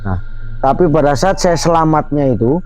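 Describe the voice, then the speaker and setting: A man's voice: a little high, expressive, moderate pace, darkish tone. A man hosting a night outing, earnestly telling a true story with emphatic, filler-heavy delivery outdoors at night. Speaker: host. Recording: lo-fi with faint music.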